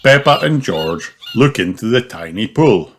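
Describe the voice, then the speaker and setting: A storyteller reading a children's picture book aloud in an expressive, exaggerated way. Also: medium pitch, a little slow. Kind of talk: animated scripted narration of a picture book. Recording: clearly noisy recording with clicks.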